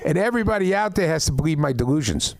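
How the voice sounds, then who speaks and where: A middle-aged man doing an open mic stand-up set, rambling through stories with stammered restarts and deadpan complaints. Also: a little high, racing, vocal fry, no echo. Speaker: stand-up comedian. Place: comedy club open mic.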